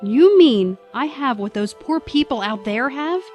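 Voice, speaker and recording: An uneasy young companion played by a woman in an audio drama. Her voice sits fairly high, anxious and youthful, with faint music behind. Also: lively intonation, unhurried, darkish tone. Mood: timid, worried.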